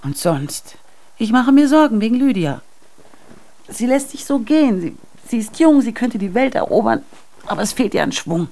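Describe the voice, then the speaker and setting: Anxious middle-aged mother, with creaky voice. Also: a little high, big pitch swings, normal speed, mellow. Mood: worried, insistent. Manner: worried, insistent, probing. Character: anxious, nagging mother and wife of a retiree. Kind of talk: naturalistic radio drama acting.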